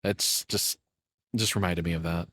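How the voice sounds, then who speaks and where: A man's voice: low-pitched, steady tone, measured pace, fairly bright tone, slightly rough, no echo. A man giving casual, off-the-cuff reaction commentary as a host, talking in an informal, rambling way.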